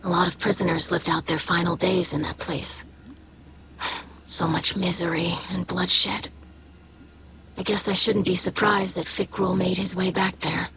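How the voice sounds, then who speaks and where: A woman voicing an earnest, curious young alien scholar in a video game, with a gentle, tactful, slightly hesitant manner. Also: fairly low pitch, level tone, a little slow, a little hiss or hum, rough recording. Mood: somber. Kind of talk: scripted video game dialogue. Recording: music behind the voice. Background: game music.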